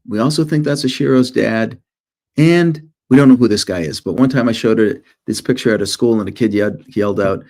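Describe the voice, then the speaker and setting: A man's voice: mid-range voice, darkish tone. A middle-aged man, an author, presenting informally to schoolchildren in short storytelling phrases with conversational asides.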